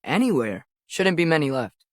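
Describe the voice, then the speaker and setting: A man's voice: fairly high pitch, sing-song, moderate pace, no room sound, clean studio sound. A young male actor playing an earnest, curious, impulsive teenage boy in a Norse myth video game, speaking naturally with a hesitant, boyish manner.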